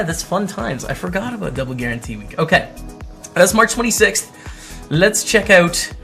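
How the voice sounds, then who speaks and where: A man's voice: on the high side, a bit bright. A man hosting a casual vlog, reading written posts aloud and adding wry, chatty asides as he goes. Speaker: host, poker streamer. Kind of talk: casual commentary while reading old blog posts aloud.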